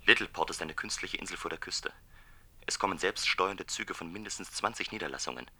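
Undercover traveller, low voice, very sharp edge, clipped, factual, radio drama. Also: male voice, animated, brisk, exaggerated voice. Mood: terse. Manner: clipped, matter-of-fact. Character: daring undercover traveller phoning in terse secret reports. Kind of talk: terse report in a radio play.

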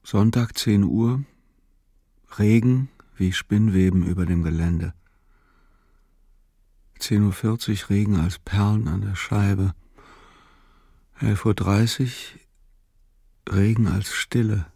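A male radio-play narrator reads literary text very slowly and matter-of-factly. His voice is fairly low and heavy with creak. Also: steady tone, mellow, no room sound, clean studio sound.

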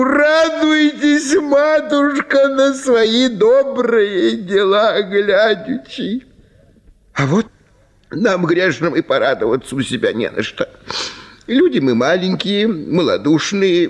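An actor in a radio drama playing an impoverished small landowner, fawning, plaintive and gushing with tearful gratitude. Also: male voice, sits high, exaggerated voice. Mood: fawning rapture. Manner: obsequious, plaintive, gushing, drawn-out exclamations. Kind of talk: theatrical Russian radio drama.

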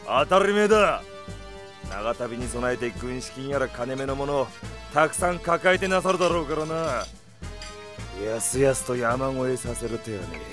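An actor playing a brash, rough bandit chief with coarse, commanding speech in an audio drama. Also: male voice, mid-range voice, expressive, normal speed, over music, natural voice. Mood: cocky. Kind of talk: anime-style audio drama acting.

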